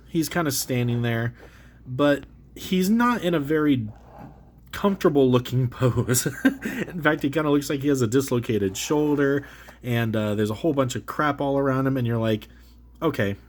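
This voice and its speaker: A man with a cold, sounding congested, talks casually as he opens and reviews an action figure, full of fillers and restarts. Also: medium pitch. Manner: rambling, filler-heavy, self-correcting. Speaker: toy reviewer, channel host. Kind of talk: casual unboxing chat.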